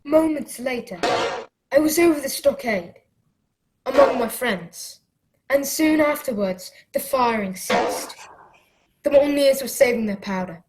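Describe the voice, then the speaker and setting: An earnest young boy adventurer, played by a woman in a radio drama. The voice is high and youthful, telling the tale as a story and speaking up as its young hero. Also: lively intonation, unhurried, very noisy. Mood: earnest, suspenseful. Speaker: narrator and lead character.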